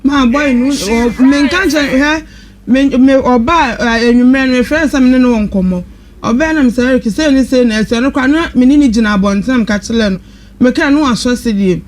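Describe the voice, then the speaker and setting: A woman's voice: sits high, moderate pace. A woman with a Ghanaian accent giving a personal account as a guest, answering questions on a talk show. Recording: clearly noisy live radio recording.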